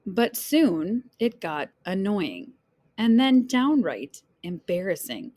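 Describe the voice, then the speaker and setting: A woman reading a children's picture book aloud in an expressive, dramatic storyteller's way, phrasing deliberately for young listeners. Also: medium pitch. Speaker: read-aloud storyteller, teacher.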